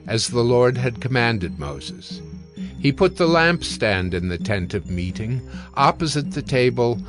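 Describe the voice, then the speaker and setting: A man giving a solemn, measured scripted narration of dramatized scripture. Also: medium pitch. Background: faint music.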